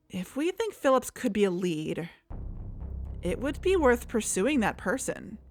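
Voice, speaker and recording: A woman playing an earnest, suspicious podcast investigator in a conversational audio drama. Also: medium pitch. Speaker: podcast co-host. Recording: music under the voice.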